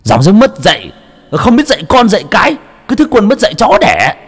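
A raging vengeful spirit speaks through a possessed young man, as a man puts it on. The voice jumps high and snarls out curses and threats, swinging widely in pitch. Faint music sits under it. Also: very animated, moderate pace, gravelly, fairly bright tone, husky, long reverb tail, exaggerated voice.

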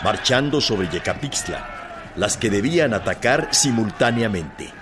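An actor playing a formal, declamatory reader of an old newspaper report in a radio drama, with a Mexican accent. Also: male voice, lowish voice, expressive, unhurried, very noisy. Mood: grave, formal. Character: formal male reader of a 1917 government-side newspaper report. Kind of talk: radio drama news reading.

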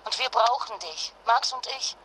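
A woman's voice: on the high side, normal speed, close to an everyday voice. An actress in a radio drama playing a protective mother fleeing a disaster with her son, earnest, insistent and reassuring. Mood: serious, worried. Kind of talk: modern radio drama acting. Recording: clearly noisy phone connection.

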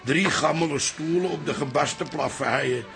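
A man's voice: a little high, unhurried, gravelly, music in the background. An actor playing a stubborn, blustering old father in a radio play. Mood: dismissive grumbling. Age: older. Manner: blustering, dismissive, complaining. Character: grumpy, stubborn old Amsterdam pub father.

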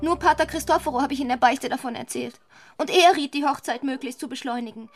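An actress in a radio play playing a modest, anxious young bride with an earnest, tearful manner. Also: female voice, sits high, quick pace, dry recording, faint music behind. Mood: earnest, pleading. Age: young adult.

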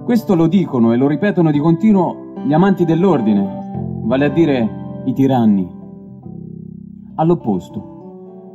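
A man giving a dramatic literary reading, declamatory and deliberate, with weighty pauses. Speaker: stage reader of literary texts. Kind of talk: dramatic literary reading over live music. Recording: dull lo-fi recording, plucked bass music behind. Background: live stick bass music.

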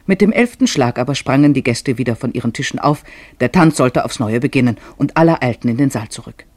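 A man narrating a literary tale for a radio drama, expressive and measured in his phrasing. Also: mid-range voice, dry recording, lightly acted.

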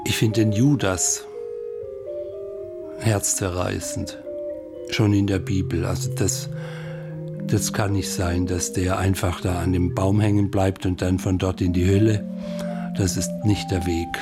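A man's voice: sits low, flat delivery, unhurried, pauses often, over loud music. A man giving personal spoken commentary in short, plain phrases, reflective in manner.

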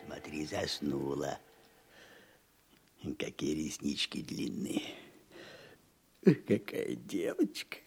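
An actor playing a gentle, weary old peasant husband in a Russian audio fairy tale, with a fond, sighing, expressive delivery. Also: male voice, extremely low pitch, slow, creaky, long pauses, full character voice. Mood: tender. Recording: studio radio play, prominent music behind.

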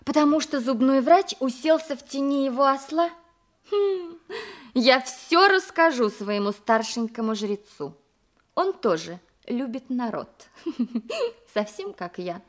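An actress playing a vain, pampered, coquettish courtesan of ancient Greece in a theatrical audio drama, with an affected, gushing manner. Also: female voice, sits high, expressive, unhurried. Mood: gushing, amused.

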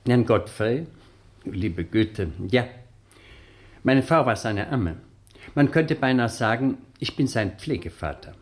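An actor in a 1960s German radio drama playing a loyal, deferential old butler with a hesitant, servile manner. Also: male voice, medium pitch, lively intonation, slow. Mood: fond, nostalgic. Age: older.

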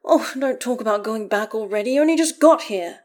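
A woman's voice: a little high, animated, measured pace, darkish tone, pitched above the performer's own voice. A woman voicing a vain, prickly man in a story, indignant, snappy and dramatic. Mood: protesting.

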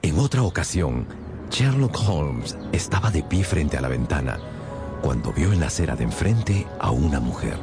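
A man's voice: rumbling low, very animated, normal speed, music in the background. A man narrating a scripted story as part of a radio drama.

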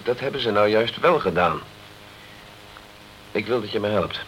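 A terse, determined lower-voiced crime-drama hero. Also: male voice, animated, moderate pace, a bit bright, lo-fi sound, slight background noise. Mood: insistent. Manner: terse, insistent, earnest. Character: determined, blunt British man fighting to clear an accused friend. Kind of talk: crime radio play acting.

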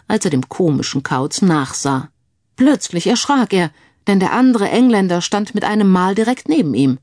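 A woman's voice: on the low side, a little mellow, no room sound, clean studio sound. A woman reading a scripted crime story as an audiobook narrator.

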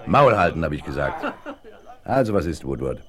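A deep-voiced, gruff outlaw boss in a radio play, barking orders with wildly swinging intonation. A rowdy crowd sounds behind him on the vinyl recording. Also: male voice, big pitch swings, normal speed. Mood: gruff impatience. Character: tall gaunt red-haired tramp gang boss, domineering. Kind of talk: 1970s German audio drama acting.

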